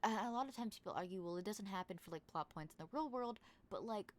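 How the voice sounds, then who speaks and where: A woman's voice: mid-range voice, expressive, fast talker. A young woman in casual voice-call chat as a tabletop game player, rambling with many fillers. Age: young adult.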